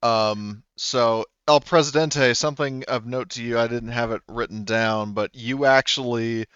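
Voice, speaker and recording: A man acting as game master in a tabletop game, explaining story events with lots of pauses and restarts. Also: medium pitch, steady tone, fairly bright tone, clear, resonant tone, no echo, muffled recording. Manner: hesitant, many ums and restarts.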